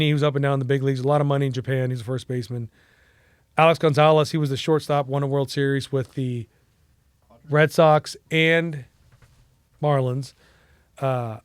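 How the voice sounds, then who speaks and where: A man's voice: mid-range voice, steady tone, normal speed, long pauses, clear, resonant tone, no room sound. A Mexican-American man in his forties, telling a long casual story as a podcast guest, rambling with fillers and restarts. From United States.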